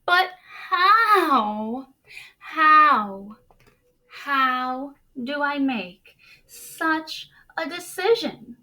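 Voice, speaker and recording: A woman voicing an anguished, indecisive picture-book boy in a melodramatic, drawn-out wail. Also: high voice, animated, sharp tone, far from the mic, pitched above the performer's own voice, heavily acted, low-quality recording. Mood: despairing.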